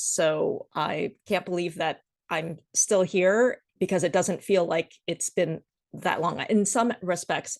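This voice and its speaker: A middle-aged woman with an American accent, giving measured, articulate answers as a guest in a conversational interview. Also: sits low, vocal fry. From Washington, D.C. area, United States. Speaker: podcast guest, association executive.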